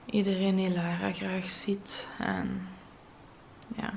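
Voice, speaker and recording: A young woman with a Flemish accent, talking to camera in a vlog after crying, her voice soft, breathy and trailing into whispers. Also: on the low side, wildly swooping pitch, takes it slowly, a little hiss or hum. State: tearful, voice heavy from crying. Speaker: vlogger. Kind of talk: emotional vlog monologue to camera. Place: bright modern home interior. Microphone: camera at close range.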